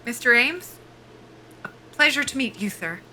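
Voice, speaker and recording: An actress playing a guarded young soldier-pilot at a job interview in an audio drama, politely formal and clipped, with dry humor. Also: female voice, a little high, expressive, moderate pace, pushed and bright, slight background noise, rough recording. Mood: stiff, eager. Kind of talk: naturalistic audio drama acting.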